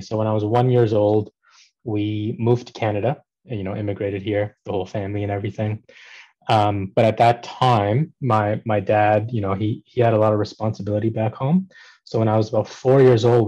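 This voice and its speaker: A man from Pakistan in his thirties, a podcast guest telling his life story in a relaxed, casual way with many ums and restarts. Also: fairly low pitch, rough recording. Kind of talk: casual conversational interview.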